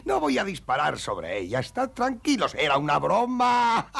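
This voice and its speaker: A male actor voicing a boastful middle-aged bear hunter in a dubbed cartoon, blustering and exclamatory with a raspy voice. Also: very high voice, full character voice. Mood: jokingly evasive. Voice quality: raspy, gravelly. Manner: blustering, exclamatory, swinging from hushed to shouting.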